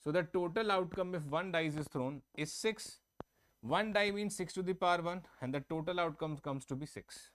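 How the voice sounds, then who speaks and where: A man's voice: fairly high pitch, normal speed, fairly bright tone, slight background noise. Man teaching a math class. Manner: explains step by step, repeats and checks with students. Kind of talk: online exam-prep class. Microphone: own microphone, online class.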